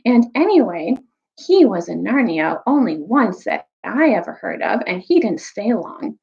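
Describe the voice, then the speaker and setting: A woman's voice: medium pitch, sing-song, measured pace, vocal fry, muffled recording. A woman voicing a bitter, scheming male dwarf in a storybook, grudging and argumentative. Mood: bitter, persuasive. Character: bitter, scheming male dwarf plotting against old legends.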